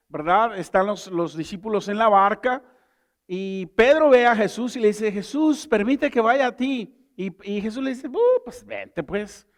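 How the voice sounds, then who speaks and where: High-voiced man preaching a lively sermon. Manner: conversational preaching with rhetorical questions. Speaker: pastor. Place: church service.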